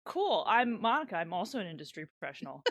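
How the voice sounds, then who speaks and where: A woman's voice: medium pitch, big pitch swings, fast, no echo. Woman podcast host, very dry close sound. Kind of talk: casual chat.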